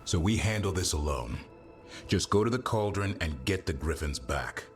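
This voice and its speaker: Battle-worn game warrior, low and expressive, gruff, terse and dry, music underneath. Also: male voice, moderate pace. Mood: terse, determined. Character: gruff, battle-worn male warrior monster hunter, secretly soft-hearted. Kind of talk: cinematic fantasy game dialogue.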